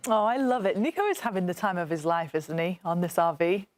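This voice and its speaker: A woman's voice: on the low side, brisk, dry recording. Bubbly female studio host. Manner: bubbly, laughs often, leads the panel. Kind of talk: lively studio panel chat.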